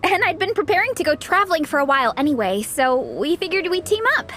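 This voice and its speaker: A woman's voice: sits high, quick pace. A woman voicing an eager, encouraging young hero-in-training in a video game, girlish and gushing, with music behind her. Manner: gushing, animated, giggly.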